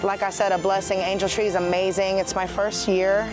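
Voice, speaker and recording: A woman's voice: mid-range voice, level tone, fairly quick, music in the background, rough recording. A woman giving unscripted answers as an interviewee.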